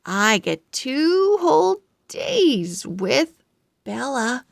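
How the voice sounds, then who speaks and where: Woman as an excitable pug, lively and drawn-out. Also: sits high, creaky, no echo, clean studio sound, heavily acted. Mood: affectionate, excited. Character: excitable, proud little male pet pug diarist. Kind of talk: children's storybook character narration.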